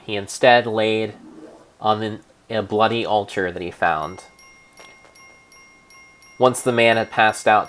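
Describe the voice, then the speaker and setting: Low-voiced man narrating dramatically over music. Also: fairly bright tone. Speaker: streamer narrating a game as a story. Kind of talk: dramatic storytelling narration of gameplay.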